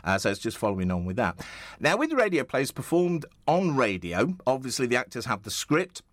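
A man's voice: mid-range voice, very animated, brisk, no room sound. A man hosting a conversational radio interview in a chatty, rambling, enthusiastic way.

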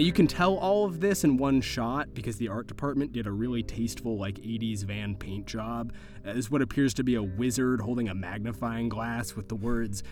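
Casual male game master over guitar music. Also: mid-range voice. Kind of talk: tabletop game narration and banter.

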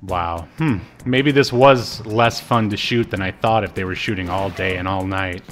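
A man's voice: sits low, moderate pace, a bit bright, soft background music. A man co-hosting a podcast in casual banter, dropping wry, joking asides. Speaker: podcast co-host.

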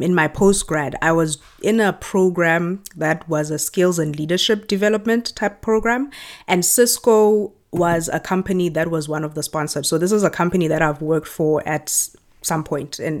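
South african woman in her 30s, lowish voice, lively storytelling on a podcast. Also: normal speed. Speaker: guest. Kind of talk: conversational interview storytelling.

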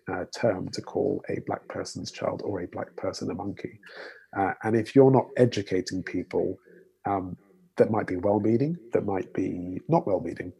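A man with an English accent, a doctor speaking in a panel discussion in a measured way, with many fillers. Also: on the low side, warm tone, slightly rough, low-quality recording.

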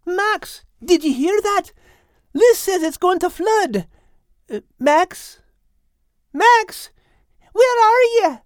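Male reader as little female storybook dog, very high pitch, sweet, anxious, questioning, dry sound. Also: animated, slow, long pauses, no echo, full character voice. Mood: puzzled, worried. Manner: questioning, worried, innocent.

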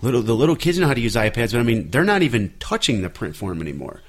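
A man's voice: mid-range voice, big pitch swings, quick pace. A man in his thirties, an artist co-hosting a podcast, talking in a rambling way and correcting himself as he goes. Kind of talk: casual podcast chat.

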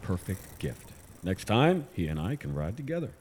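An actor playing a middle-aged scientist father in an audio drama, casual, wry and gently persistent. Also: deep voice, very animated, normal speed, in a large hall, very noisy. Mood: playful, fatherly. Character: warm, eager father and scientist, a concerned friend. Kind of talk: naturalistic audio drama acting.

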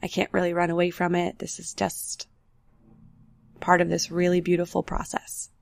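Low, gravelly woman with a nasal voice. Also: monotone. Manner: candid, unhurried, personal storytelling. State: nasal from recovering flu. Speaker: podcast host. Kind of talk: solo reflective podcast talk. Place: apartment with road noise outside.

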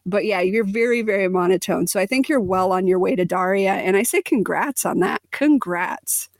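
A woman's voice: mid-range voice, moderate pace, no echo, clean studio sound. A woman in her forties co-hosting a podcast, speaking with lots of inflection in a casual, chatty way. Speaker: podcast co-host. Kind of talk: casual chat.

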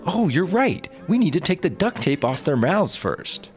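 An actor playing a cheerful, gullible startup worker in a sci-fi comedy audio drama, earnest and exaggerated. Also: male voice, fairly high pitch, big pitch swings, moderate pace, soft background music. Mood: bossy, upbeat.

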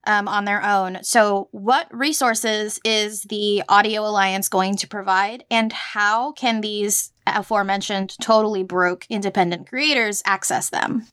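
A woman's voice: mid-range voice, fairly even intonation, unhurried, hardly any pauses, fairly bright tone, clean tone, no room sound, studio quality. A woman hosting a podcast, asking questions in a conversational interview style.

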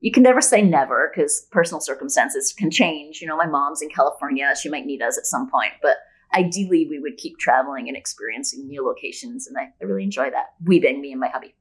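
A woman with a slightly low voice talks as a guest author in a relaxed interview. Her delivery is chatty and upbeat, with an enthusiastic, conversational flow. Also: fast talker, breathy edge, low-quality recording.

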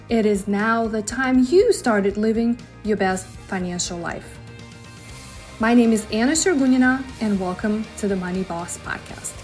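Woman hosting a podcast, higher voice. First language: Russian. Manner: easygoing, talks as ideas come to her. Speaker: podcast host. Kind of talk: solo finance podcast, thinking aloud.

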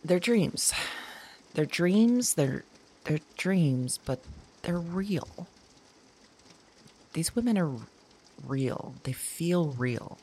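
An actress playing a sleepless, haunted woman who confides her dreams to an audio diary, halting and drowsy, sometimes slipping toward a whisper. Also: lowish voice, animated, a little mellow, slight background noise. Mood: haunted, unsettled. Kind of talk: intimate audio drama monologue as recorded diary.

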